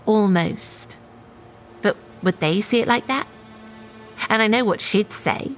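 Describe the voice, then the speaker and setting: A woman's voice: mid-range voice, slow pace, soft background music, close to an everyday voice. An actress playing an anxious, self-justifying woman shop manager in a naturalistic audio drama. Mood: worried.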